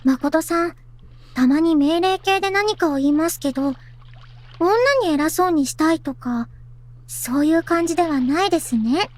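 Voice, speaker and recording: Very high, quick, cute anime girl played by an actress. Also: a little mellow, natural voice. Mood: probing. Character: teenage girl heroines of a visual novel, cute and chatty. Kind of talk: Japanese visual novel voice acting. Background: game music.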